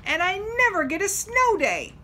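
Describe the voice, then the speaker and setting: A woman's voice: mid-range voice, sing-song, slow, fairly bright tone, a little hiss or hum. A woman voicing a grumpy, complaining male bus driver in a storybook, whining and grumbling. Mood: whiny. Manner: whiny, grumbling.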